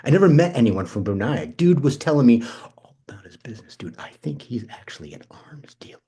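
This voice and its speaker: A man acting the part of a brash, charming, slangy cousin with a husky voice in a screenplay read-through. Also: mid-range voice, big pitch swings, a little fast, background noise. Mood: chatty, animated. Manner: slangy, cocky, nonchalant. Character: Benji, brash, charming, restless, foul-mouthed male cousin. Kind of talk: informal screenplay table read, slangy naturalistic dialogue.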